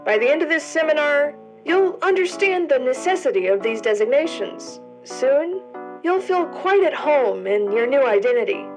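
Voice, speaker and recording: A woman's voice: high voice, expressive, normal speed, music in the background, poor audio. A woman playing a polished orientation video narrator, over-enunciating an official script in an audio drama. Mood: chipper, official. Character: cheery female corporate orientation narrator, privately exasperated.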